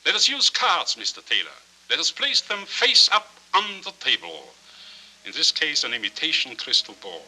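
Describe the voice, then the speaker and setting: An actor in a 1940s film noir playing a cynical, fallen small-time swindler with formal, theatrical, silkily courteous speech. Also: male voice, on the high side, very animated, normal speed, sharp tone, husky, exaggerated voice, rough recording. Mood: silky, wry. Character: florid, cynical small-time chiseler, fallen grand scoundrel. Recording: hissy 1940s film soundtrack.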